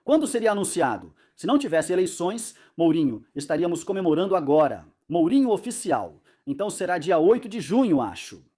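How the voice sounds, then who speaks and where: A man's voice: high voice, very animated, brisk, few pauses, mellow, husky. A man giving confident, emphatic predictions as a football pundit in a casual debate chat.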